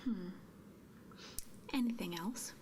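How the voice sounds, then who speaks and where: A noticeable noise bed sits under a woman's close, breathy whisper. She speaks very slowly, playing a gently inquisitive service technician in an ASMR roleplay, with soft murmured hums. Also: mid-range voice. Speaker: ASMR performer.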